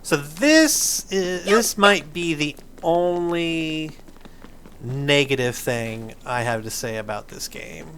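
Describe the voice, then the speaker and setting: A man's voice: a little high, lively intonation, slow pace, background noise. A man hosting a gaming video, explaining things patiently in a playful, conversational way. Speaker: host of a family gaming video. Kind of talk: casual chat over gameplay. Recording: game music behind the voice. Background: video game music.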